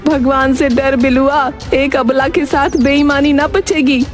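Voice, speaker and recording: Woman as a high-voiced wronged village woman. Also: steady tone, measured pace, faint music behind. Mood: indignant. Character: poor wronged village widow and her daughter. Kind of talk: animated moral-story dialogue.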